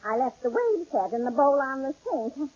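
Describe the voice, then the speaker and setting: A woman's voice: high voice, sing-song, normal speed, a little mellow, slightly rough, heavily acted. A woman playing a flustered, good-natured household maid in a 1940s radio sitcom. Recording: dull old radio recording, faint noise.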